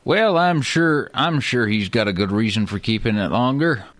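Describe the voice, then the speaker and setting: A man's voice: medium pitch, lively intonation, moderate pace, a bit bright, no echo, natural voice. Man playing a pompous, swooping Old West tool braggart. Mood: smug, self-important. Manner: boastful, grandiose, over-precise wording. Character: pompous, fussy male Old West tool collector and rival. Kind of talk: hammy comedy radio play acting.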